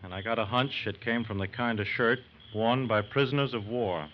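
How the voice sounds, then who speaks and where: Actor as businesslike FBI agent, muffled old recording. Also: male voice, lowish voice, moderate pace, a little hiss or hum, close to an everyday voice. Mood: intent. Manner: businesslike, clipped. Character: brisk, methodical FBI agent at a crime scene. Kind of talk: 1940s radio acting.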